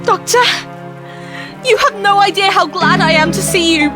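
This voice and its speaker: A woman acting in an audio drama as a plucky, warm-hearted companion to an adventurer, earnest and expressive. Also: very high-pitched, normal speed. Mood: relieved, urgent. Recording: music and rain under the voice.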